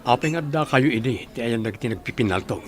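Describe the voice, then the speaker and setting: Audio drama lawman, played by a man: medium-pitched, firm, questioning. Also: animated, quick pace, a crowd in the background, lightly acted. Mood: inquisitive. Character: firm, inquisitive male lawman.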